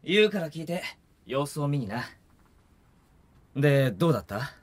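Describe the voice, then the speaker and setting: A man playing a brooding, resigned young man in a Japanese anime audio drama, with terse, gruff, low-key delivery. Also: very animated, normal speed, no room sound. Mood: probing.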